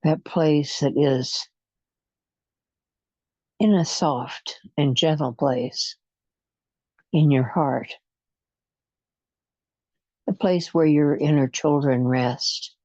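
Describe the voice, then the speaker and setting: A guide leading a guided imagery relaxation session, speaking in a soothing, hypnotic way with long pauses and slow counting, on a dull lo-fi recording. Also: medium pitch, warm tone, dry recording.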